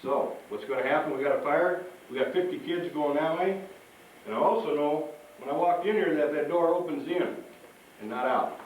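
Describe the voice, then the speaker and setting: A man's voice: fairly high pitch, moderate pace. A man presenting as a firefighter, giving a folksy, instructive safety talk to children and often checking in with them. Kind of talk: interactive safety talk to schoolchildren. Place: large echoey room with an audience. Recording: very echoey room, distant, faint noise.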